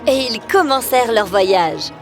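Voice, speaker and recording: High-voiced woman narrating a fairy tale. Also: lively intonation, measured pace, faint music behind.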